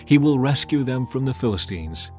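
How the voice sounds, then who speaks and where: A man's voice: mid-range voice, expressive, normal speed, warm tone, faint music behind, lightly acted. A man playing an authoritative, merciful God in a dramatized scripture reading. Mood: solemn authority. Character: the Lord God, authoritative, merciful divine male ruler.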